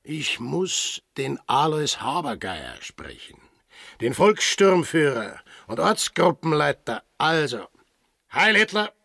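A gruff Austrian sailor with a husky, gravelly voice. He is blunt and mocking, his delivery swinging from low mutter to bark, recorded close and dry as in a radio play. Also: big pitch swings, slow pace, fairly bright tone, no echo, full character voice. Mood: confrontational, mocking. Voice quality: gravelly, rough voice. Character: gruff, sardonic, brandy-drinking sailor with a grudge.

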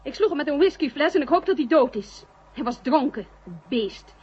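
An actress playing a distraught, bitter secretary in an old radio drama. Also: female voice, high-pitched, animated, a little fast, darkish tone. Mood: bitter, agitated. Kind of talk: 1970 radio drama acting. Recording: dull old radio recording.

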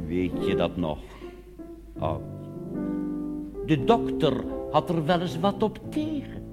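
A man performs a nostalgic old Dutch grandfather in a cabaret song, reminiscing to his wife in slow, drawn-out phrases with a Netherlands accent. The pitch sweeps widely, and music plays in a long-reverberating hall. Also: heavily acted.

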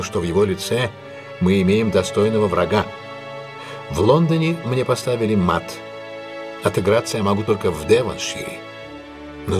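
An actor in an audio drama playing a shrewd, commanding gentleman detective, husky, courteous and intense, with theatrical deliberate delivery. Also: on the low side, natural voice. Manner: theatrical, authoritative, deliberate questioning.

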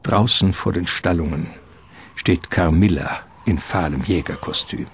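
A man narrating a radio drama from a script in a deliberate, suspenseful manner. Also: deep voice, a little hiss or hum.

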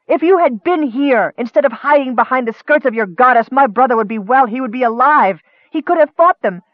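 A fierce young noblewoman from a fantasy storybook, acted out by a man, high in pitch and imperious. She gives orders with a bitter, cutting edge. The sound is close and dry but narrow, like a radio. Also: quick pace, dry recording, close to an everyday voice. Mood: accusing. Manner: commanding, cutting, sarcastic.

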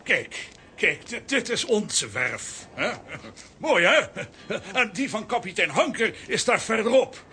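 Casting: excitable stammering inventor, bright, animated delivery, kids' radio play. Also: male voice, high-pitched, takes it slowly, a little hiss or hum, full character voice. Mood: proud, eager. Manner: stammering, flustered, eager. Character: excitable, fussy male professor inventor. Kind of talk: children's radio play acting.

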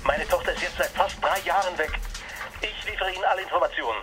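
A man's voice: high-pitched, fast, over music, close to an everyday voice. An actor playing a desperate, demanding father of a missing daughter in a radio drama, urgent and accusatory. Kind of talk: German radio drama acting.

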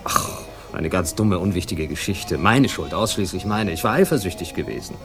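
An actor playing a naive young upper-class man, vain and easily offended, in a halting 1960s German radio crime drama performance. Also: sits low, expressive, a bit bright, faint music behind. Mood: rueful, hesitant. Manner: hesitant, halting, affronted.

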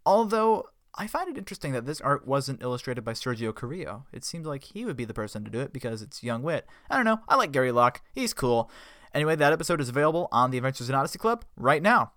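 Bright-voiced upbeat young man. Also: mid-range voice, big pitch swings, fast talker, no room sound, clean studio sound. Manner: chatty, upbeat, self-correcting. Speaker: fan news podcast host. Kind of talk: casual solo news podcast.

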